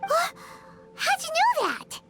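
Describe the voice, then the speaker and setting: A woman voicing a small, perky fairy companion girl in a video game, squeaky, chirpy and blunt. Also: wildly swooping pitch, takes it slowly, full character voice. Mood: startled. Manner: chirpy, refers to self in third person. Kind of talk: video game character acting. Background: music.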